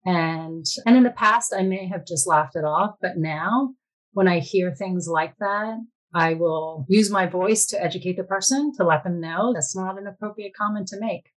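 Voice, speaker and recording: Woman with a lowish, reflective voice. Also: normal speed, rough recording. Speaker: interview guest sharing personal experience. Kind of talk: reflective personal talk.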